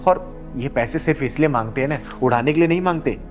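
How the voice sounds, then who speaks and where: A man's voice: medium pitch, fast talker, soft background music, natural voice. A man playing an assertive, authoritative arbiter of family disputes in a melodramatic short film. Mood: firm.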